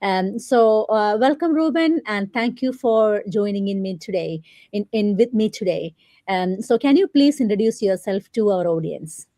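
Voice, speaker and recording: A woman hosts a live interview over a video call, her voice mellow and warm. She is friendly but halting, leaning on fillers, and the sound is dry and close. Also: mid-range voice, dry recording. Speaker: host.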